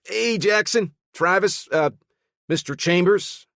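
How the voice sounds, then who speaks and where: A man voicing an animated, chipper male hacker who rambles on a phone call. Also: sits high, slow pace, gravelly, no room sound, clean studio sound, pitched above the performer's own voice, full character voice.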